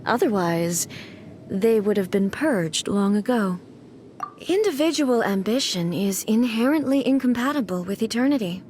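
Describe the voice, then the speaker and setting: A woman playing a stern, imperious ruling deity in a video game, speaking in a formal, measured, commanding way. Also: medium pitch, animated, a little mellow, slight background noise, lightly acted. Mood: cold, composed. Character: stern, imperious female ruler deity devoted to eternity. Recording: background music under game dialogue.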